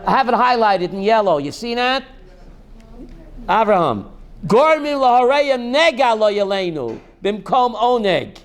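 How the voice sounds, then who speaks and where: A rabbi teaching a class, reading text slowly and then explaining it in while quizzing his students. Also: high voice, sing-song. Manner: reads Hebrew text aloud slowly, then explains in English, quizzing listeners.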